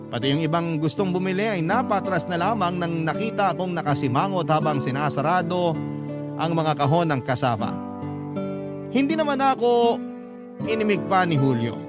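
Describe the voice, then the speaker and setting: A man narrating a dramatic first-person love story in Tagalog as a radio storyteller. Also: on the high side. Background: guitar music.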